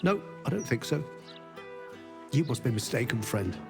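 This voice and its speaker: A man's voice: medium pitch, big pitch swings, moderate pace, gravelly. An actor playing a confused, cheerful well-to-do man with lost memories in a video game, rambling absent-mindedly. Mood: confused, wistful. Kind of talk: video game character dialogue. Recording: music behind the voice.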